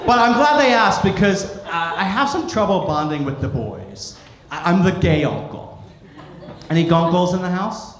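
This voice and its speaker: A middle-aged American man doing live stand-up comedy, telling stories with deliberate pauses and acted-out quotes. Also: high voice, big pitch swings. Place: indoor comedy club stage. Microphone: stage microphone. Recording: roomy echoing club, some noise.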